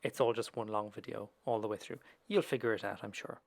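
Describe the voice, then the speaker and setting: A man's voice: fairly low pitch, fairly even intonation, a little fast. A man presenting a casual, conversational screen-share tutorial in an informal way, with small hesitant asides. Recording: clear background noise.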